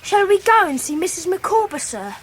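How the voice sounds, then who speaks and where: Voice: very high voice, sing-song, a little fast, fairly bright tone, full character voice. A young performer with an English accent playing a polite, well-bred schoolboy in a radio drama. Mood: polite, eager. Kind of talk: 1990s British radio drama acting. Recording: noisy radio drama recording.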